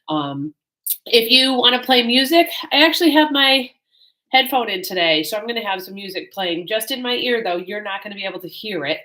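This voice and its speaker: A woman fitness coach with a bright, ringing voice, a little congested today. She chats in a friendly, rambling way with plenty of ums and uhs, recorded in a home exercise space on the camera mic. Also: on the low side. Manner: friendly, rambling, many fillers. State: slightly congested. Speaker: fitness instructor. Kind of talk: chatty class introduction.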